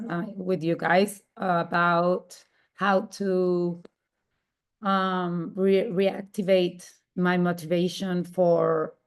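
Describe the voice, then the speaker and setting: A woman, introducing herself hesitantly and searching for words over a video call. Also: on the low side. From Mexico. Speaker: workshop participant.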